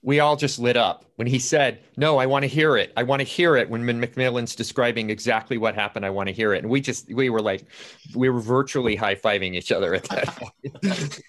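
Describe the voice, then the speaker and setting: A man's voice: medium pitch, a little fast, a bit bright. A man speaking as a playwright on a video-call panel, telling behind-the-scenes anecdotes in casual talk.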